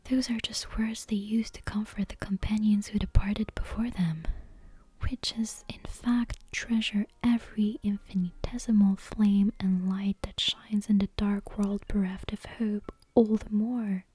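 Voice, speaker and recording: A woman softly whispering a bedtime story in an ASMR style, close to the microphone. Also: mid-range voice, fairly even intonation, a little slow, slightly rough, no echo. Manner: soft, unvoiced whispering.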